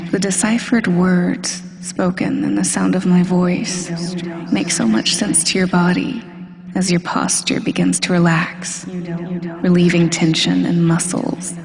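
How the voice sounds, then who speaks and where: A woman playing a smug, domineering female supervillain hypnotist in a scripted roleplay audio, breathy and deliberate, often dropping into a whisper. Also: on the low side. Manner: slow, deliberate, hypnotic, commanding. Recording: long hall-like reverb.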